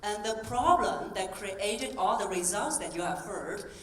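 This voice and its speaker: A woman voice coach giving an energetic keynote talk, coaxing the audience through exercises on stage. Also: mid-range voice, unhurried, a little hiss or hum. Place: large conference hall on stage. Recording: roomy hall, distant mic, faint ambience.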